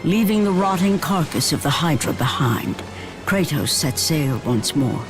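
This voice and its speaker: A man giving grave, scripted game narration in a slow, somber storytelling way, over loud game music. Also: on the high side, expressive.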